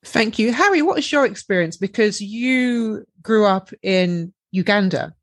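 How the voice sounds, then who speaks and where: A woman's voice: mid-range voice, expressive, measured pace, no room sound. Formal woman podcast host, dry sound. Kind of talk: scripted introduction and interview questions.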